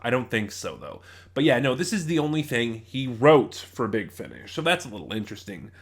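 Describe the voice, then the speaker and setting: A man's voice: medium pitch, sing-song, fairly quick. A man giving a casual, rambling review as a reviewer, opinionated but often hesitant and digressive.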